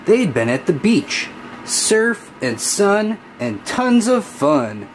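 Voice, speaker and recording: A man reading a children's story aloud in a deliberate, singsong storybook manner. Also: sits high, very animated, a little hiss or hum. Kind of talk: scripted children's read-aloud narration.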